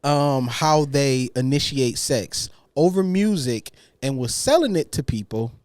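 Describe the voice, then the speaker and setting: A woman's voice: rumbling low, expressive, a little slow, darkish tone. A young adult woman co-hosting a casual podcast chat, telling a story emphatically and repeating her points. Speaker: podcast co-host.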